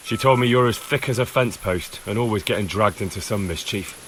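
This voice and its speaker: An actor playing a dutiful young medieval squire in a video game, earnest and a little wry. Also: male voice, on the low side, brisk, a little hiss or hum, lightly acted, rough recording. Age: young adult. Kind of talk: video game dialogue acting. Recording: loud background music.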